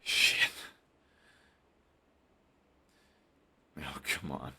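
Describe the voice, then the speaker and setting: A man voicing a brooding villain who is defensive and lovestruck, talking close and intimately to the listener. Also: takes it slowly. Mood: hushed, flustered. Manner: intimate, shifts between hushed and heated. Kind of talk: audio roleplay monologue.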